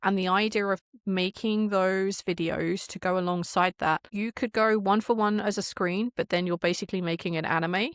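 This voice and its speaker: Female podcast guest, mid-pitched, informal and emphatic, opinionated, very dry recording. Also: steady tone, moderate pace, few pauses, clear, resonant tone, no room sound. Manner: emphatic, informal, frequent rhetorical questions. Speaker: podcast guest, audio drama creator. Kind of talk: casual, opinionated conversation.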